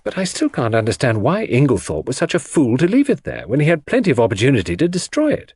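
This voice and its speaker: A man's voice: mid-range voice, huge pitch swings, fast talker, a little mellow, husky, no room sound, exaggerated voice. A man voicing a detective's astonished male friend in an audiobook, asking eager, incredulous questions. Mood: puzzled. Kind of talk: audiobook character dialogue.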